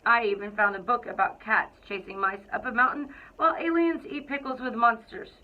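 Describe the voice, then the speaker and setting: A woman reading a children's picture book aloud to school students in an expressive, deliberate storytelling way. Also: on the high side. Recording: thin, phone-like narrow-band sound.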